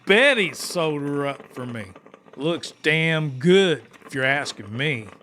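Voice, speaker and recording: A man's voice: a little high, animated, slow pace, pushed and bright, a little hiss or hum, full character voice. A man playing a rowdy, good-natured backwoods warrior in an audiobook drama. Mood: content. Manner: folksy, boisterous.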